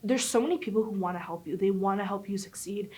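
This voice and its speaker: A woman's voice: medium pitch, quick pace, dark tone, breathy edge. A young woman college student speaking earnestly in a documentary interview. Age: young adult.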